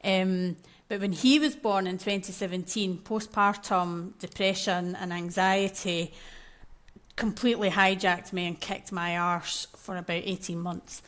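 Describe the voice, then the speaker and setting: A woman's voice: fairly low pitch, steady tone, measured pace. A Scottish woman in her thirties, a podcast guest who tells her own story candidly and with animation in casual chat. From Scotland. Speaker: podcast guest, maternal mental health coach.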